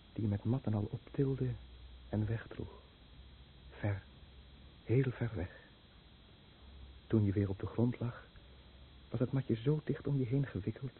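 An actor playing an anguished, guilt-ridden fisherman scarred by fallout, in a Dutch radio play with grave, measured delivery. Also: mid-range voice, close to an everyday voice. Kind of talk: Dutch radio play acting, dramatic narration. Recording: muffled, narrow-band old radio recording with noise.

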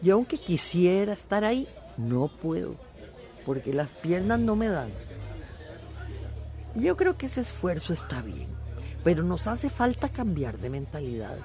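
A woman's voice: lowish voice, big pitch swings, measured pace, warm tone, faint music behind. A woman playing a wise, earnest elderly villager in a radio drama, speaking reflectively. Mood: earnest, sorrowful.

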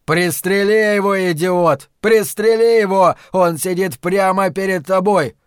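A man voicing a sinister, commanding dark master who taunts and purrs with false tenderness in an audiobook. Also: fairly high pitch, expressive, moderate pace, dry recording, studio quality, lightly acted. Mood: furious. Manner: imperious, taunting, swinging from roars to purring.

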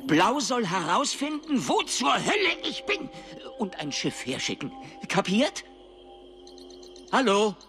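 An actor playing an agitated, bewildered company boss in a German radio drama, gruff and exclaiming. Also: male voice, high voice, wildly swooping pitch, slow, full character voice. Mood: angry, demanding. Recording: music behind.

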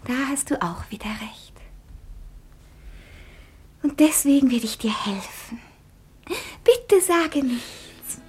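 An actress playing a brave, devoted young daughter in a 1950s radio play, wistful and theatrical, with breathy delivery. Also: female voice, sits high, lively intonation, slow, pauses often, darkish tone, full character voice. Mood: tender, breathy.

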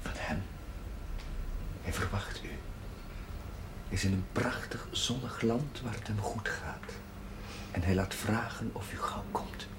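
An actor playing an earnest, patient and compassionate village chaplain in a Dutch television period drama. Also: male voice, fairly low pitch, slow pace, long pauses. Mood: hushed, consoling. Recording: faint background noise, old TV soundtrack.

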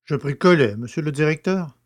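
An actor playing a blunt, protesting, cheeky village poacher, recorded with long reverb. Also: male voice, on the high side, fairly quick, lightly acted. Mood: compliant. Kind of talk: French audio drama acting.

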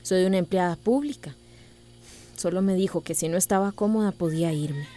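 A woman's voice: fairly low pitch, a little fast, dark tone, faint music behind, close to an everyday voice. An actress playing a proud, stubborn young woman schoolteacher in a radio soap opera. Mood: hurt, defiant. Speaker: actor in a radio drama.

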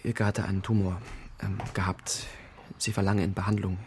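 Nervous young violin student, hesitant, stammering, lowish voice, radio crime drama. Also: male voice, little pitch movement, moderate pace, a little hiss or hum. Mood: uneasy. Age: young adult.

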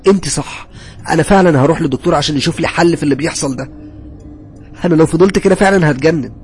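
A sleepless Egyptian male doctor at breaking point, acted by a male storyteller in a horror tale. He shouts in agitation, his voice driven high and fast, full of desperate temper. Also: soft background music, close to an everyday voice. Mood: frantic.

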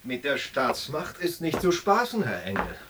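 An actor playing a menacing, sardonic state security officer in a radio drama. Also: male voice, medium pitch, wildly swooping pitch, moderate pace, noisy background. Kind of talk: German radio drama acting.

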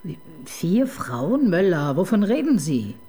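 A sardonic middle-aged detective, dry and teasing, her voice rising and falling a great deal. She sounds sharp and ironic in a radio crime play. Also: on the low side, big pitch swings, takes it slowly, a crowd in the background. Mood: businesslike. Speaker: detective chief inspector. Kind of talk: radio crime drama acting.

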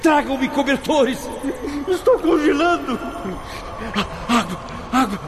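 An actor in a radio drama playing an anguished blinded man trapped in torment, theatrical, halting and desperate. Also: very high-pitched, lively intonation, background noise, heavily acted. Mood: frantic, demanding. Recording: dramatic music under the voice.

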